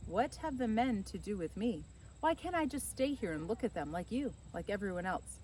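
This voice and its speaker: A woman voicing an eager, cheeky fourteen-year-old boy from a children's storybook, full of excitable questions. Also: medium pitch, moderate pace, distant mic, a little hiss or hum, lightly acted, low-quality recording. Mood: curious. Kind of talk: children's storybook character reading.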